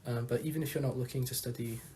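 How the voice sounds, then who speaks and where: Young man, university student, earnest, hesitant, flat monotone, faint background noise. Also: medium pitch, fast talker. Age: young adult. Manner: earnest, hesitant with many ums. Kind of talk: student testimonial.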